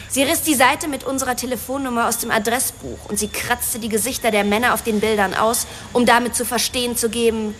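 An actress in a German radio drama playing a spoiled rich daughter who confesses emotionally and justifies herself. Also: female voice, fairly high pitch, a bit bright, a little hiss or hum, natural voice. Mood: defensive, bitter.

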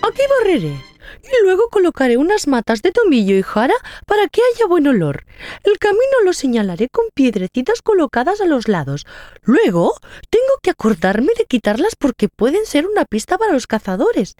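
Woman acting a high, breezy female hare. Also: wildly swooping pitch, moderate pace, darkish tone, no room sound. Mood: busy, chatty. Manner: breezy, chatty, exclaiming. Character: carefree, chatty, overconfident mother hare. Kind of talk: children's audio story acting.

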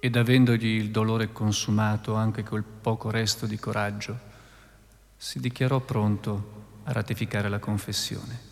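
A man's voice: on the low side, flat delivery, unhurried, creaky, slight background noise. A man delivering dramatic scripted narration as the narrator of a radio play. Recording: long reverberant hall, faint music.

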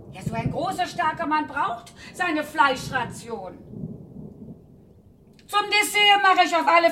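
An actress playing a chatty, fussing older widow in a radio play, fretting over food and guests. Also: female voice, extremely high pitch, background noise.

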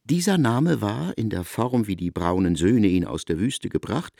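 A man playing an erudite narrator in a literary radio drama, speaking eloquently with deliberate phrasing. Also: on the low side, animated, gravelly, dark tone, dry recording, clean studio sound. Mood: erudite, expressive. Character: erudite male narrator of a biblical epic.

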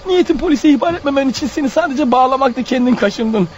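Radio play role: wounded killer on the run, very high-pitched, rapid, agitated, self-pitying, fading strength. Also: male voice, lively intonation. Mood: anguished, self-justifying. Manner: agitated, muttering to himself, snapping at others. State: weak, bleeding, fighting off sleep. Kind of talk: radio drama acting with inner monologue. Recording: dull radio recording with faint noise.

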